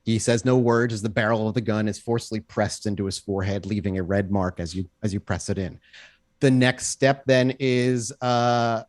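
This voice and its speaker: A man's voice: on the low side, level tone, normal speed, a little mellow, no echo. Man narrating a tabletop game, close dry sound. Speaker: game master.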